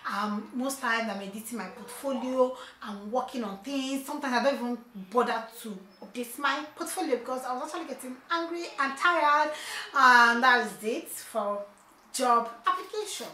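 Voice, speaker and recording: A woman's voice: on the high side, moderate pace, sharp tone. A young woman chatting casually to her viewers in a vlog update, often asking "okay?" and calling out to "you guys". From not from China. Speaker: vlogger. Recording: echoey room.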